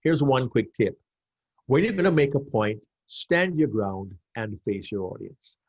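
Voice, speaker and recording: A man's voice: medium pitch, big pitch swings, measured pace, slightly rough, highs cut off. A man in his fifties from Jamaica, coaching on public speaking as a podcast guest, emphatic and given to repeating his key phrases. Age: 50s. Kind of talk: animated coaching explanation.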